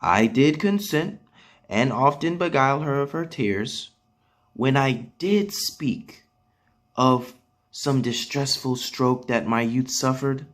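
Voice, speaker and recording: A man performing a proud, earnest soldier pleading his case in a measured, declamatory Shakespearean stage monologue with dramatic pauses. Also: medium pitch, voice pushed up, close to an everyday voice. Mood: earnest, fond.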